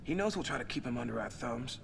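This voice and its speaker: A man's voice: medium pitch, lively intonation, fast talker, vocal fry, a bit bright, slight background noise. An actor playing a businesslike male operative, a wry and matter-of-fact partner in a video game cutscene. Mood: focused.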